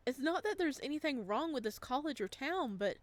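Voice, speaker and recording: A woman voicing a lonely, lovestruck male ghost in a visual novel, soft, hesitant and earnest. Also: high voice, expressive, brisk, no room sound, pitched above the performer's own voice. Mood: earnest longing.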